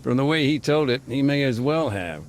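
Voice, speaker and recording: An actor playing a middle-aged, war-weary former peacekeeper, speaking plainly with military jargon and dry humor, in a game cutscene. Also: male voice, medium pitch, lively intonation, moderate pace, a little hiss or hum, lightly acted. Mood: calm. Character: war-weary male ex-peacekeeper telling his story. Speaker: interviewee. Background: music.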